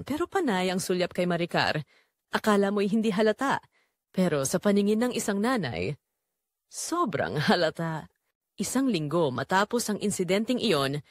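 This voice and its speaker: Filipina woman narrating an audio drama, solemn n emotive, pitch moves a lot, really dry sound. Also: dry recording. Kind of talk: dramatic first-person scripted narration.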